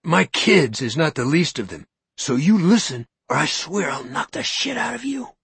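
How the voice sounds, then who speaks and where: A man's voice: a little high, very animated, moderate pace, a bit bright, husky, no room sound, voice pushed up, heavily acted. A man voicing a determined grown man who pleads and threatens with urgent force in a storybook reading.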